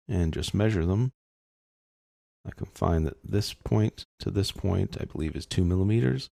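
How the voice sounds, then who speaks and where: A man narrating a step-by-step software tutorial in an informal, explanatory way, thinking aloud as he works. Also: low-pitched, creaky, dry recording, studio quality.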